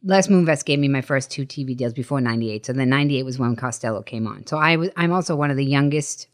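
Middle-aged female comic, low pitch, rapid delivery, blunt storyteller. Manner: blunt, emphatic, swearing, acts out quoted dialogue. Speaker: guest, stand-up comedian. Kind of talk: animated anecdotal storytelling in a podcast interview.

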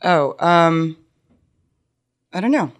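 A woman co-hosting a casual podcast chat, with a low voice, swearing freely and laughing often as she tells stories. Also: expressive, very slow.

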